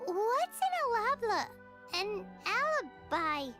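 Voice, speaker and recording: A tiny, chirpy female fairy from a video game, extremely high and squeaky. She is curious, excitable and exclamatory, with a very bouncy delivery. Music plays behind her. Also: takes it slowly, exaggerated voice. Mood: puzzled, impatient. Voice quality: squeaky, childlike. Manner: speaks of herself in the third person, exclamatory. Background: background game music.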